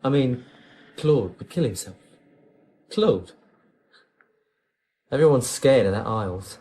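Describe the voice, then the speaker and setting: A small-time drug dealer in a radio crime drama. He is chatty, cocky and ingratiating, with a very lively, swooping delivery at a middling pitch. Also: takes it slowly. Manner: ingratiating, chatty, sales patter.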